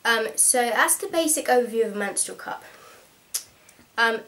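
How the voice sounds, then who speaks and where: A woman's voice: on the high side, animated, moderate pace, fairly bright tone. A young woman with a slight cold, frankly and practically explaining a product in a casual home vlog, with plenty of ums. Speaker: vlogger.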